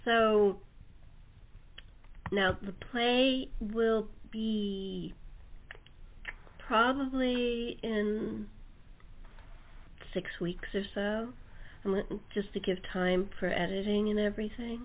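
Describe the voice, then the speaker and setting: A woman's voice: mid-range voice, steady tone, slow pace, long pauses. Phone-line woman host, casual and chatty. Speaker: host and radio-play producer. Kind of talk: casual panel interview chat. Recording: phone-like narrow-band sound.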